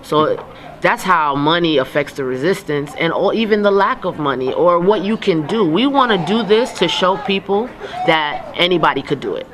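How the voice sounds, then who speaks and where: Informal, emphatic man with a higher voice. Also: animated, moderate pace. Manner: informal, emphatic, talks in asides. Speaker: radio broadcaster being interviewed. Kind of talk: casual conversational interview answers. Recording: noticeable background noise.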